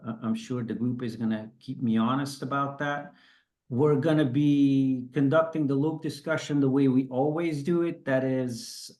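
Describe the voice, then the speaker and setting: A man's voice: medium pitch, darkish tone. A man from Belgium hosting an online industry discussion, chatty and self-deprecating, often restarting his sentences. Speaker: host.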